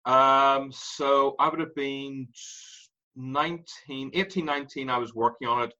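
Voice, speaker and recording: A man in his forties with an Irish accent, a guest telling his story in an animated way and laughing through it. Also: mid-range voice, unhurried, lo-fi sound. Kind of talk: candid interview storytelling.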